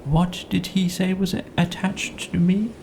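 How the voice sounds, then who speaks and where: Man playing a gentle, slow-witted storybook bear. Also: sits high, little pitch movement, creaky, a little hiss or hum, voice pushed up. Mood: confused. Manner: mild, musing, hesitant.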